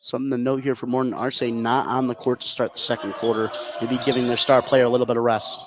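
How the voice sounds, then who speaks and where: A man's voice: medium pitch, flat delivery, fast, noisy background. A man giving conversational color commentary and analysis during a live basketball broadcast. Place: indoor high school basketball game.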